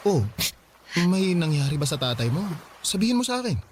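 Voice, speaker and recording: An actor playing a gentle, supportive young boyfriend who reassures his girlfriend. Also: high voice, very animated, fairly quick, a little hiss or hum. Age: young adult.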